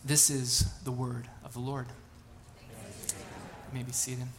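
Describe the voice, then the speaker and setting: A man's voice: mid-range voice, fairly even intonation, slow pace, slight background noise. A man in his late thirties, a pastor, reading scripture, praying and then telling casual, self-deprecating stories in a sermon. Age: 30s. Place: church sanctuary.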